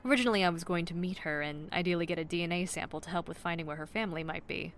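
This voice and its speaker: A woman plays a determined investigator in an audio drama. Her voice is a little low, measured and confident, with a composed delivery. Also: fairly bright tone, lightly acted. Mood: purposeful.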